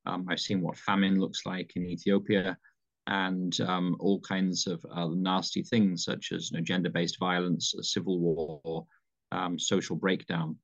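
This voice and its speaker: A British man in his fifties, a pastor answering interview questions in a measured way with frequent ums and restarts. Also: deep voice, little pitch movement, creaky, dull audio. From United Kingdom. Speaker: pastor, mission leader.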